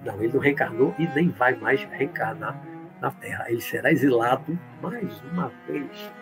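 A man from Olinda, explaining spiritual ideas in a live talk and answering viewer comments, often checking in with a quick "right?". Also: medium pitch, over music. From Brazil, born in Olinda, Pernambuco. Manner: explanatory, tag questions, reads comments aloud then answers.

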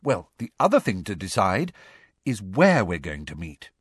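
A man voicing an earnest, impatient teenage schoolgirl with a brisk, tart, insistent manner in an audiobook. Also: low-pitched, huge pitch swings, breathy edge, no echo, clean studio sound, full character voice. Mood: tense.

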